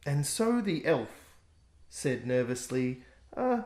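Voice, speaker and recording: A man reading aloud his own rhyming picture book in a gentle, expressive way. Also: medium pitch, takes it slowly. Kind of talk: rhyming storybook narration. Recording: echoey room.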